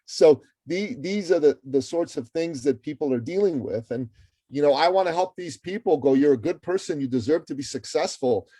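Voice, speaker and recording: A man's voice: a little high, lively intonation, normal speed, warm tone, no echo. A middle-aged man from Iran, a guest author and entrepreneur, talking energetically and emphatically in an interview, often restarting words. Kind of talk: interview conversation.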